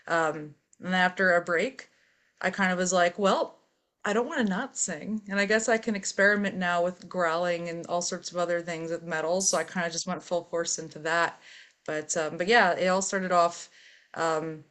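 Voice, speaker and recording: A woman with a fairly low voice, a metal vocalist, talks through her story in a casual interview. She is open and unhurried and leans on easy fillers as she goes. Her manner stays relaxed and conversational.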